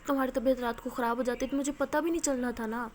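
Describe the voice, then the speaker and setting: A woman's voice: high-pitched, steady tone, a little mellow, slight background noise, close to an everyday voice. A woman voicing an earnest young woman who insists on caring for her sick partner, in a told story.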